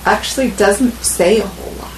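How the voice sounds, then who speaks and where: A woman's voice: mid-range voice, brisk, echoey room, noisy background. A woman in a spiritual study group, sharing her thoughts hesitantly with many fillers. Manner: hesitant, many ums and likes.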